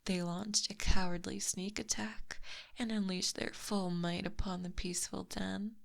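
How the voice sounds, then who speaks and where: A woman playing a possessive, teasing lamia monster girl in a soft, breathy ASMR roleplay, close on a binaural microphone. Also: medium pitch, level tone. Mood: hushed storytelling. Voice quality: breathy, whispery. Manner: soft, teasing, slow and deliberate.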